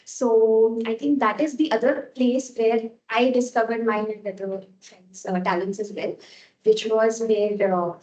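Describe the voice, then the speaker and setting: Soft-spoken woman giving an impromptu speech. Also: a little high, fairly even intonation, reverberant, dull audio. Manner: slow, hesitant, laughs often.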